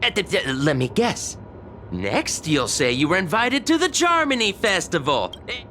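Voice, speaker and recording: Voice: medium pitch, sing-song, moderate pace, bright, forceful tone, a little hiss or hum. An actor playing a condescending, teasing research colleague in a video game, chatty and scoffing with exaggerated delivery. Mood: gossipy, mocking. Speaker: researcher character. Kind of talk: animated video game dialogue.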